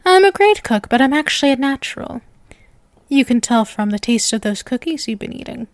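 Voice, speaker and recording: A woman's voice: high-pitched, expressive, fairly quick, no room sound. A woman playing a sweet, possessive, lovestruck young witch in an ASMR roleplay, coy and teasing as she addresses the listener. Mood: smug, sweet. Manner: coy, teasing, sugary with menacing devotion.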